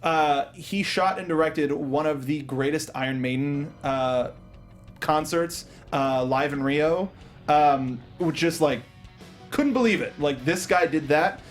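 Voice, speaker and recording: Higher-pitched man co-hosting a casual film discussion. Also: takes it slowly, soft background music. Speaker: talk show co-host. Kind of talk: casual film review discussion with production trivia.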